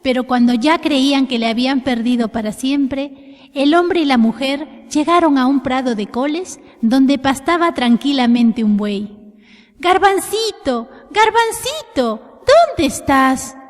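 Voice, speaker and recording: A woman narrating a scripted children's tale in an expressive storytelling style. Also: sits high, measured pace. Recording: long hall reverb.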